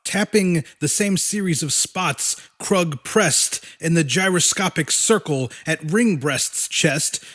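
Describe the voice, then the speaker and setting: A man's voice: on the high side, fairly bright tone. A man narrating a fiction audiobook in a dramatic, scripted storytelling style.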